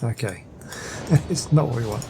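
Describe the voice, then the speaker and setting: A man's voice: mid-range voice, unhurried, creaky, warm tone, slight background noise. A man giving casual, easygoing, rambling let's play commentary as a gaming channel host.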